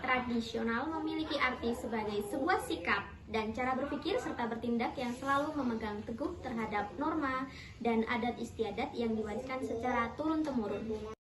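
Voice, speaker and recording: A young woman from Yogyakarta, presenting a class lesson as a student, reading in a calm, careful way. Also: on the high side, normal speed, roomy sound, far from the mic, a crowd in the background. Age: young adult. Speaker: student narrator.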